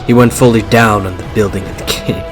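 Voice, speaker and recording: A man's voice: lowish voice, steady tone, fairly quick. Amused man reading a story over music. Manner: amused, stumbling over the text. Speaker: reader of fan fiction.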